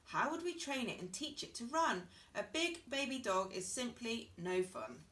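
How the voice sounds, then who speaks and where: A woman's voice: fairly high pitch, big pitch swings, normal speed, a bit bright, far from the mic. A woman voicing an anxious, easily upset mother hen in a children's story, exclaiming dramatically and fretfully.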